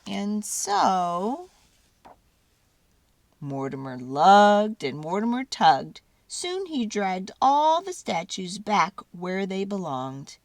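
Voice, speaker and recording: A woman reading a children's storybook aloud dramatically, with theatrical, deliberate phrasing and drawn-out words. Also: medium pitch, very animated, dry recording.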